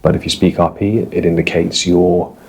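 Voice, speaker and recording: A man with a received pronunciation accent hosting an accent tour vlog, speaking in an explanatory, measured way. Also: deep voice, fairly even intonation, creaky, a little mellow, slight background noise. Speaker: host.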